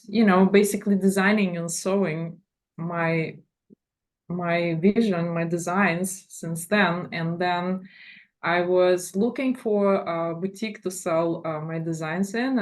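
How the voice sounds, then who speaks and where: A middle-aged woman from Latvia giving casual interview answers, with hesitant, pausing phrasing. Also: medium pitch, a little mellow. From Latvia, former USSR. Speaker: fashion designer, interview guest.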